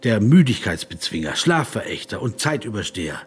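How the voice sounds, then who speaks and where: An actor playing an earnest, politely persistent philosopher in a radio play, with a husky voice and a reflective, probing manner. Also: male voice, fairly low pitch, sing-song, a little fast, full character voice. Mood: earnest, arguing.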